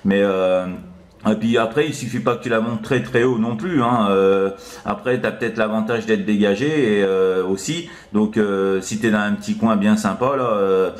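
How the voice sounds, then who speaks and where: A man chatting casually as a CB radio operator, halting and repetitive. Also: lowish voice. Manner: halting, repetitive, full of verbal tics. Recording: roomy, echoing room sound.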